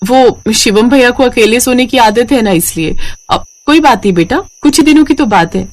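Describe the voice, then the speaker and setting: A woman's voice: a little high, moderate pace, lightly acted. A woman playing a polite, reassuring and thrifty Indian housewife in a scripted moral story. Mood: gentle, reassuring. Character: thrifty, dutiful middle-class Indian housewife, patient and caring.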